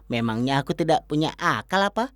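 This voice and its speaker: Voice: medium pitch, expressive, fairly quick, no room sound, clean studio sound, natural voice. An actor voicing a bossy, protective cartoon man who scolds and explains emphatically in a children's cartoon dub. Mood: indignant, scolding. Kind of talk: Indonesian-dubbed children's cartoon acting.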